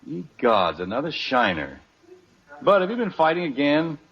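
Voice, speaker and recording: A man's voice: a little high, very animated, moderate pace, breathy edge, full character voice. An actor playing a stubborn, exasperated family father in an old-time radio comedy, blustering and argumentative. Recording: dull old radio recording.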